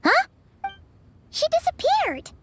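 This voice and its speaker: A tiny childlike game fairy with a squeaky, extremely high voice that swoops up and down. The companion is excitable, loyal and chatty, exclaiming often. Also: female voice, slow, exaggerated voice. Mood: baffled. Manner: refers to self in third person, exclamatory. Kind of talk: video game voice acting.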